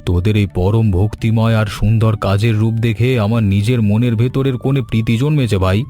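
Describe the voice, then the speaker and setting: A man's voice: lowish voice, fairly even intonation, brisk, dark tone, lightly acted. A man voicing a kind, grateful old village uncle in a cartoon story, speaking in an earnest, sentimental way. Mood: moved, grateful. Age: older. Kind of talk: Bengali cartoon story voice acting. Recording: music behind the voice. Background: music.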